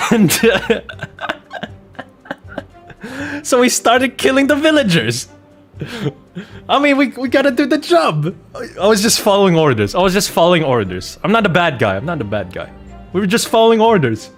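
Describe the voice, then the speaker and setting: Animated man telling stories over music. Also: sits high, unhurried, long pauses. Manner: animated, jokey storytelling with mock-innocent protests. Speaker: streamer playing a video game and host of the channel. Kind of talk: casual anecdotal chat while streaming.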